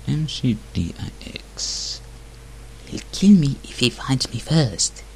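A man slowly thinking aloud with many hums and pauses while playing a video game. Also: mid-range voice, very animated, vocal fry, a little hiss or hum. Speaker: let's play host. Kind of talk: casual gameplay commentary.

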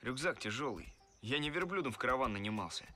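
An actor, a man, playing a weary, sardonic courier turned monster in an animated noir cartoon, grumbling in a mid-pitched voice. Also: fast talker. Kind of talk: animated noir film acting.

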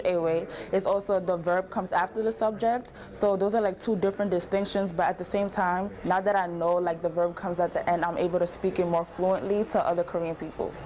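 A teenage girl talks casually in an interview at school, dropping in likes as she goes. Her voice sits a bit low for her age. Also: darkish tone. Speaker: student. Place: school hallway indoors.

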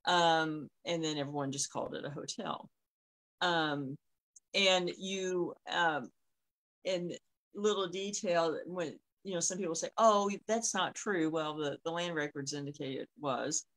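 A middle-aged woman telling stories casually on a video call, often pausing mid-thought. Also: deep voice, fairly bright tone. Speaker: co-host. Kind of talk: casual conversational storytelling. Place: small room at home, on a video call. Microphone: device mic on a video call.

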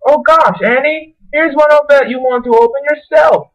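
A woman playing a lively, helpful boy in an amateur radio play, loud and exclaiming. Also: high-pitched, moderate pace, dull audio, close to an everyday voice. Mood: cheerful, eager. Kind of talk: old-time radio play acting by an amateur.

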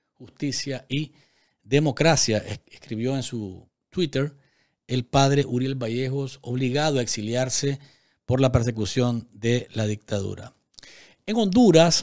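Indignant news commentator man. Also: medium pitch. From Nicaragua. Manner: indignant, emphatic, talks straight to viewers with many fillers. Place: small TV studio, indoors. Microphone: desk microphone on stand.

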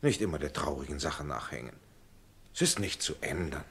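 Husky, expressive gentleman advisor. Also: rumbling low, a little fast, exaggerated voice. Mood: urging. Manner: courteous, advising, emphatic. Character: well-spoken, practical gentleman, the carter's old friend. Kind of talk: 1960s radio play acting.

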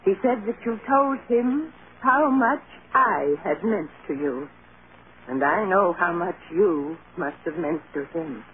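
A woman's voice: fairly low pitch, expressive, slow. An actress playing a stern but loving old grandmother, firm and earnest, in 1940s radio drama style. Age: older. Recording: tinny, hissy old radio recording.

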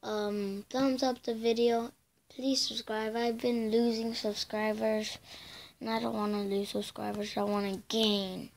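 Raspy-voiced woman with a gravelly edge. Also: fairly high pitch, little pitch movement, a little hiss or hum. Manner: halting and filler-heavy, drifting between loud bursts and mumbles. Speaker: channel host. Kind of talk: casual game commentary over a screen recording.

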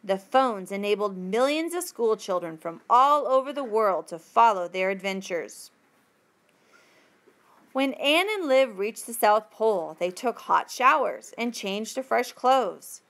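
A woman reading a textbook passage aloud as a teacher would, with careful, deliberate phrasing. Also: fairly high pitch, expressive, a bit bright.

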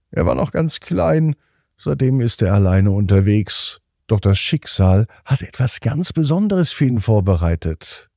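A man narrates a children's bedtime story as a podcast host, gently and expressively, drawing out words. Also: lowish voice, gravelly, no room sound, clean studio sound.

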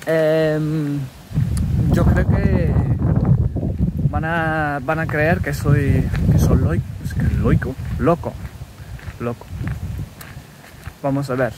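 A man in his thirties from England, his native tongue English, talking to himself in a halting, self-correcting way while walking a wooded path, filming on a phone held at arm's length. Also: a little high, fairly even intonation, very noisy. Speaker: language learner recording a practice podcast. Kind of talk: casual spoken practice monologue. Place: wooded countryside path outdoors.

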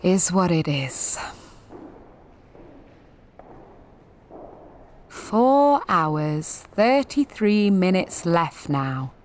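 A woman's voice: fairly low pitch, big pitch swings, slow. An actress in her twenties playing a jaded, sweary, sensitive gallery attendant in a confessional audio drama monologue, wry and self-deprecating. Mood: bitter, resigned. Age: 20s.

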